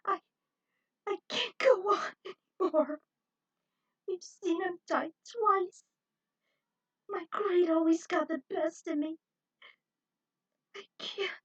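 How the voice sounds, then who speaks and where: A woman's voice: very high-pitched, slow, pauses often, recorded from a distance, voice pushed up. A woman voicing a heartbroken, guilt-ridden young female adventurer, despairing and wailing, in a story reading. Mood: distraught. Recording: dull, muffled lo-fi audio.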